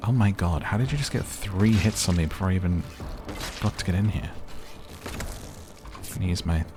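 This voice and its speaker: A soft-spoken man giving gentle, chatty, relaxed ASMR commentary over a game, as the host of the video. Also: low voice, normal speed, background noise. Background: game music.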